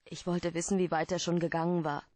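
A woman's voice: on the low side, flat delivery, brisk, darkish tone, no room sound, natural voice. Troubled female client played by an actress, radio drama, guarded, earnest, hesitant, close dry sound. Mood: guarded, explaining. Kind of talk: German radio play acting.

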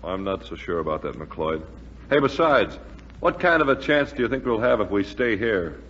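Deep-voiced laconic scout. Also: male voice, very animated, normal speed, a bit bright. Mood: insistent. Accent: American. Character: laconic American scout back from patrol. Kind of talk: 1940s radio acting. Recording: muffled, noisy, echoey 1940s radio recording.

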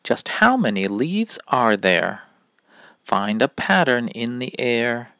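A man reading a rhyming children's picture book aloud in a deliberate, instructive manner. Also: mid-range voice, big pitch swings, a bit bright, no echo. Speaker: read-aloud reader.

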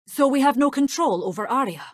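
A female scientist from a video game. She has a husky, mid-pitched voice with lots of movement, explaining things expressively in a dry studio sound. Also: expressive, brisk, no echo. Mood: questioning. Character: female facility scientist explaining dire problems. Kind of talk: dramatic video game dialogue.

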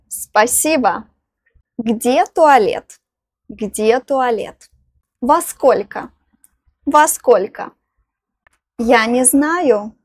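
A young woman, teaching phrases outdoors, saying each one twice, slowly and clearly, into a clip-on lapel mic. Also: fairly high pitch, dry recording. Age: young adult. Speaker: language teacher. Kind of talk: slow phrase-by-phrase lesson. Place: outdoors in front of greenery.

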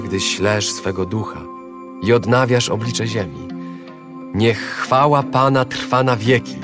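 A man with a fairly low voice recites scripture as prayer. He is reverent and very unhurried, over prominent music. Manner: reverent, measured. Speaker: psalm reader. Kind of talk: prayerful dramatic psalm recitation. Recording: studio voice over loud music.

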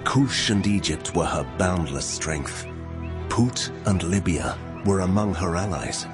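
A stern, menacing God pronounces judgment. The voice is very deep and moves slowly and solemnly. Music plays clearly behind this dramatized scripture reading. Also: male voice, lively intonation. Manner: grave, deliberate, menacing. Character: God, male, solemn, wrathful, commanding divine judge.

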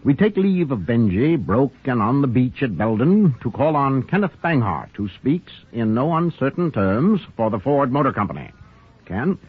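A man's voice: medium pitch, big pitch swings, normal speed, husky. A man narrating a scripted 1940s radio show as announcer, theatrical and wry. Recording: tinny 1940s radio broadcast. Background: loud music.

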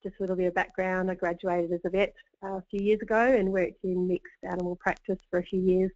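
A woman, a vet, giving a webinar presentation in a relaxed way with many fillers. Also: fairly low pitch, fast. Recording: dull dry webinar audio.

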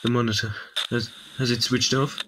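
A man's voice: mid-range voice, flat delivery, moderate pace, background noise, natural voice. A man playing an urgent, commanding time-travelling doctor in an amateur audio drama. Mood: tense, scolding.

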